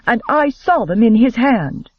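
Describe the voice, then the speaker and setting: A man's voice: very high-pitched, animated, no echo, lo-fi sound, pitched above the performer's own voice. A man voicing an earnest young woman pleading urgently in an audiobook. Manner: pleading, hurried.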